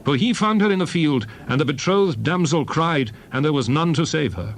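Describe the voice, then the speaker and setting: A man giving a solemn, declarative, dramatized reading of King James scripture in measured phrases. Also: a little high, lively intonation. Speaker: Bible reader.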